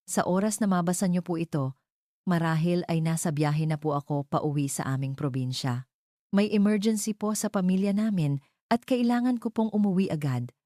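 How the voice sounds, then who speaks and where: A woman's voice: deep voice, dark tone, no echo, clean studio sound, natural voice. A woman voicing a humble, heartbroken young housemaid, polite and apologetic, in an audio drama. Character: humble heartbroken young housemaid reading her farewell letter.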